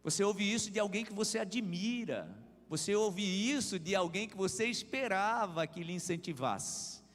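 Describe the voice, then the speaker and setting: Male pastor, emphatic repeated refrains, raised pitch, echoing hall. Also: moderate pace, a bit bright. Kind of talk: sermon. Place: church hall. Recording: reverberant church hall.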